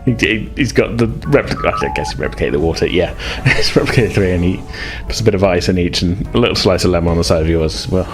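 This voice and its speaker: Man, game master, slightly deep voice, casual improvised narration, stop-start phrasing, faint music behind. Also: fast talker, hardly any pauses.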